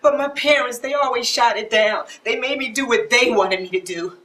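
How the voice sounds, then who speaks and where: A woman's voice: a little high, moderate pace, a bit bright, lightly acted. A woman playing a hurt, regretful woman in her forties in a stage play, plaintive and emphatic. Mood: wounded, bitter. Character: hurt, regretful woman nearly forty-five who gave up dancing. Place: theater stage. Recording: echoey stage, picked up from far off.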